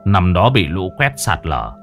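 A man puts on an old mountain shaman in a storybook tale, his voice dropped low and gruff. He sounds weary and grave, with soft music under him. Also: big pitch swings, measured pace, full character voice.

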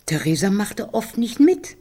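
Elderly woman, breathy husky voice, mid pitch, fretful, defensive, homely, German radio drama. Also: very animated, unhurried, dark tone. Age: older.

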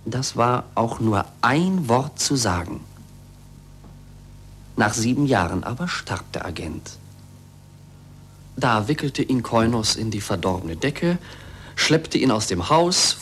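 An actor playing an earnest male pupil with deliberate storyteller's phrasing in a 1940s German radio play. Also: medium pitch, breathy edge. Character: Andrea, earnest male pupil telling a parable. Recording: faint noise and hum, vintage radio.

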